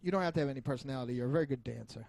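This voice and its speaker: A man's voice: medium pitch, racing, vocal fry, darkish tone. A man co-hosting a podcast, trading casual, bawdy comic banter with his friends. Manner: bawdy joking. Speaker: podcast co-host.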